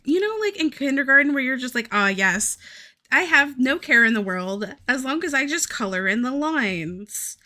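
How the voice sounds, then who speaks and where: A woman's voice: a little high, bright, forceful tone. A young woman with a Southern US accent, chatting casually and rambling on a live stream, swearing and laughing often. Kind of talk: casual chat while drawing on a live stream.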